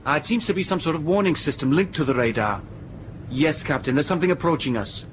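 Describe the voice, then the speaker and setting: A man's voice: fairly high pitch, animated, fast talker, very noisy, close to an everyday voice. An actor playing an observant crewman reporting to his captain, a little hesitant, in a radio drama. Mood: alert. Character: observant, deferential crewman puzzling over controls.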